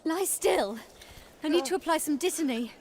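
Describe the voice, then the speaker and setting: Very high-voiced earnest teenage witch. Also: expressive, moderate pace, a little mellow, a little hiss or hum. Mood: anxious, caring. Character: earnest, anxious, bossy, clever teenage witch.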